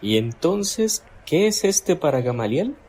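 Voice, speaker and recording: A man's voice: a little high, sing-song, slow, darkish tone, husky, heavily acted. An actor playing an older, skeptical townsman in a radio drama, asking probing, incredulous questions.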